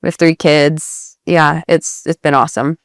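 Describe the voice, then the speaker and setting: Chatty woman in her thirties, smooth low voice. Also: fairly even intonation, measured pace, no room sound, studio quality. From Idaho, USA. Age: 30s. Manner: chatty, upbeat, lots of fillers and restarts. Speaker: real estate agent guest. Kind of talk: casual interview chat.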